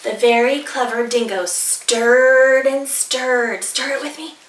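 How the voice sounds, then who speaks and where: A woman reads a children's story aloud with deliberate, animated phrasing. The voice is high and bright, slightly distant in a roomy, echoey space. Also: slight background noise. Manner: deliberate, animated, inviting participation. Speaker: teacher reading aloud. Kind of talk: expressive children's storybook reading. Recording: roomy echo, distant mic.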